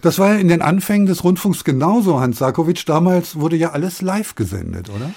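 A man's voice: on the high side, animated, a little fast, a little mellow, no echo. A man presenting a radio talk programme from a studio, moving between scripted moderation and interview questions. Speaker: radio host.

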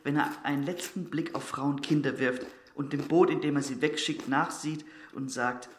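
Man acting gentlemanly naval officer, radio play, sentimental, expressive, gently argumentative, roomy sound with clear background noise. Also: a little high, normal speed, close to an everyday voice. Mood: heartfelt. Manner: courteous, heartfelt, expressive. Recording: echoey room, noisy background.

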